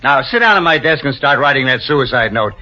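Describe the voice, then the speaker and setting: An actor playing a coldly calculating, persuasive swindler in an old-time radio drama, commanding and pushy. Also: male voice, mid-range voice, expressive, a little fast, pushed and bright. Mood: brisk, coaxing. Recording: dull old radio recording with music.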